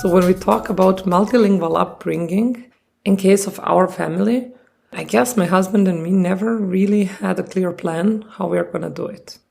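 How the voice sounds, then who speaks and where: Host, female, 30s, Serbian native: dark, heavy vocal fry, measured and reflective. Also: medium pitch, soft background music. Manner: thoughtful, unhurried, speaking English as a second language. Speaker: podcast host. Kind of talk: casual solo podcast talk.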